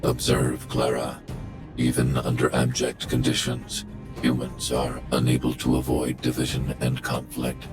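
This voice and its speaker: Super deep giant robot guardian from a game, cold n formal, all calculations no feeling, music behind. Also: male voice, completely flat delivery, measured pace. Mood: cold, analytical. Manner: formal, clinical, emotionless machine-like delivery. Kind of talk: video game voice acting.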